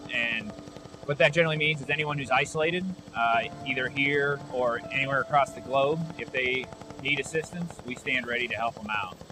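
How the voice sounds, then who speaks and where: An American man in his forties, a military officer, speaking earnestly and in measured explanations in a documentary interview. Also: medium pitch, noisy background.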